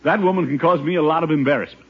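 An actor playing a blustering, easily flustered middle-aged bachelor with an indignant, pompous manner in a 1950s radio comedy. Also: male voice, a little high, racing, lightly acted. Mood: exasperated. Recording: muffled, hissy 1950s radio recording.

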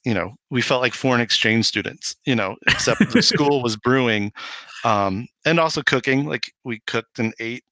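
Bright-voiced man telling stories. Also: medium pitch, normal speed, hardly any pauses, dry recording. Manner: rambling, animated retelling with quoted dialogue. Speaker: podcast guest, homebrewing video maker. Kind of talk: casual anecdotal interview talk.

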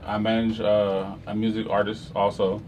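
A man who hosts clubs, talking in a laid-back, halting way on a casual podcast. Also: on the low side, completely flat delivery, darkish tone, recorded from a distance, slight background noise. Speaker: club host and promoter. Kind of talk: casual group chat.

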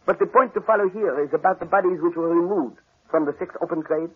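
An actor playing a clever, patient Belgian detective with a French accent and precise, formal phrasing, in 1940s radio mystery style. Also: male voice, on the high side, quick pace, slightly rough. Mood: explaining, knowing. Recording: muffled, band-limited 1940s radio recording.

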